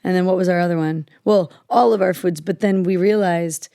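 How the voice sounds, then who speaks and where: A woman's voice: lowish voice, steady tone, a little fast, creaky, dark tone, no echo, studio quality. A middle-aged American woman co-hosting a podcast, telling stories in a friendly, casual way. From United States. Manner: friendly, storytelling, finishes her partner's thoughts. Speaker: podcast co-host. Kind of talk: casual conversation.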